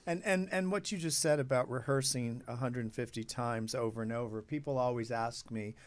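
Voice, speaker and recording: A man's voice: medium pitch, moderate pace. A man hosting an interview show, asking questions and offering encouraging remarks. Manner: encouraging, affirming, short interjections. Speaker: talk show host. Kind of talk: casual interview chat.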